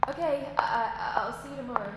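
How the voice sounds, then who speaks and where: A woman's voice: on the high side, a little fast, heavy background noise, close to an everyday voice. Woman acting a gossipy office colleague, echoey room. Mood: indignant, blunt. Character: gossipy female office colleague, sly and conspiratorial. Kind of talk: audio roleplay acting.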